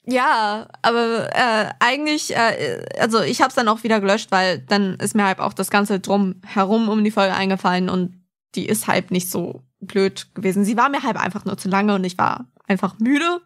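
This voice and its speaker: A woman's voice: mid-range voice, moderate pace, fairly bright tone, no room sound, clean studio sound. A woman chatting casually as a podcast co-host. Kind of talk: casual chat.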